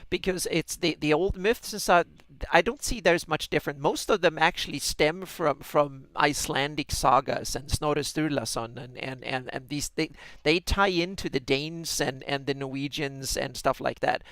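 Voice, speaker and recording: Swedish guy cohosting a podcast, kinda high voice, lots of "and, and", dry sound. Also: normal speed, fairly bright tone, no echo. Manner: repeats words, many restarts. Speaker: podcast co-host. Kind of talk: casual chat.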